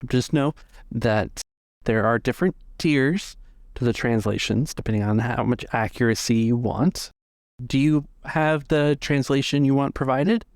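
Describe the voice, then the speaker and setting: A man's voice: mid-range voice, a little slow, dry recording, clean studio sound, natural voice. A man voicing a perky woman receptionist with chirpy customer-service patter in a tabletop game. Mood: chirpy, helpful. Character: perky woman receptionist at a translation sales office.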